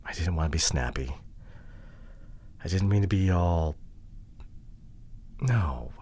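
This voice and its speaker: A man playing a hurt, introverted, awkwardly apologetic boyfriend in an ASMR roleplay audio drama, speaking haltingly and close to the microphone. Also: sits low, lively intonation, slight background noise. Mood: apologetic, tender. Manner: hesitant, halting, sighing delivery with soft drops. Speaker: voice actor. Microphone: close microphone, dry.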